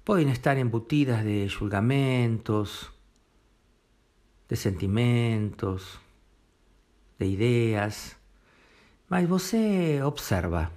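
A man leading a guided meditation, giving gentle step-by-step instructions in short phrases with long pauses between them. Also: medium pitch, animated.

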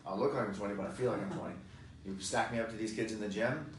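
A man in his forties talking fitness in a casual podcast chat, energetic and emphatic, with many fillers. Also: on the low side, very fast. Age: 40s. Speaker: fitness guest expert. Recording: echoey room, distant mic, faint noise.

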